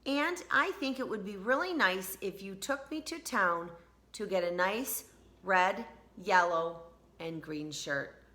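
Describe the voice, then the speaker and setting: A woman's voice: medium pitch, animated, slow, bright, forceful tone. A woman voicing a stubborn, cheeky schoolgirl in a children's storybook, with an exclaiming, childlike delivery. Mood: coaxing.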